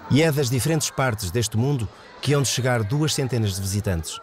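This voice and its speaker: A man with a European Portuguese accent, a news reporter reading a scripted narration in a measured, clearly articulated way. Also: mid-range voice, animated, soft background music.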